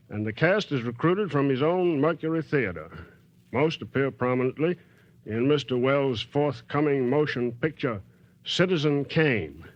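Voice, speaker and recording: An American man giving a formal, measured scripted introduction on 1940s radio. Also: on the high side. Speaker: radio program chairman and presenter. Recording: muffled 1940s radio broadcast.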